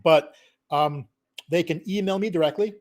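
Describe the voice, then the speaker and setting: A middle-aged man from a Filipino family, a digital marketing consultant, talking in an animated, emphatic way on a live business stream, tagging his points with "okay?" and "right?" and laughing often. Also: a little high, moderate pace.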